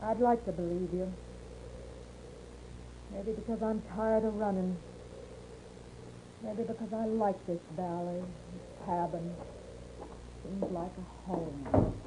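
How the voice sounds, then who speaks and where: An actress playing an outlaw's wary, weary wife in a 1950s radio western, blunt and plain-spoken with a rural American accent. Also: female voice, mid-range voice, steady tone, takes it slowly, long pauses, background noise, close to an everyday voice. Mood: wistful, pleading. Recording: muffled, band-limited 1950s radio recording.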